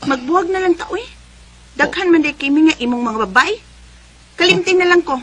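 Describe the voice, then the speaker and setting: High-pitched, fed-up girlfriend. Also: a little fast. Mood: hurt, angry. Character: fed-up young girlfriend, hurt and curt. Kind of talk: radio drama acting.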